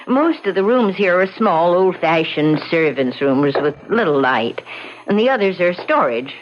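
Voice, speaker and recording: An actress playing an anxious, deferential housekeeper in an old radio drama, speaking politely and hesitantly. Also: female voice, on the low side, animated, measured pace. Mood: apologetic, uneasy. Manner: hesitant, polite, fussing, trailing off. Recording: muffled, hissy old radio drama recording.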